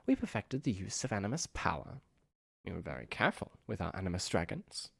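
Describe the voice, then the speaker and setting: A man's voice: sits low, big pitch swings, brisk, creaky, husky, dry recording, heavily acted. A man playing a proud, bitter young ice dragon in an audio drama, lecturing pompously. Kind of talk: fan audio drama acting.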